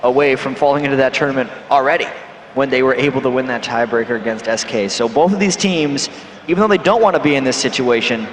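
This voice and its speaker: A man from North America giving measured, analytical esports color commentary on a headset at a packed convention hall. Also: mid-range voice, a little hiss or hum. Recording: echoey arena with music behind.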